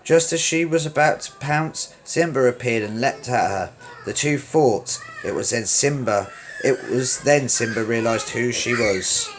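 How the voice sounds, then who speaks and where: A man's voice: medium pitch, measured pace. Man reading a storybook, noisy recording. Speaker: storyteller reading a children's book aloud. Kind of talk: expressive read-along storybook narration.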